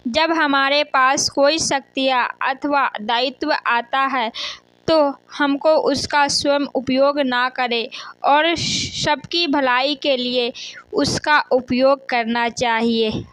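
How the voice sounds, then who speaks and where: A woman's voice: high voice, steady tone, takes it slowly, fairly bright tone, no room sound. A woman narrating a scripted children's moral story in a simple read-aloud way, with occasional stumbles.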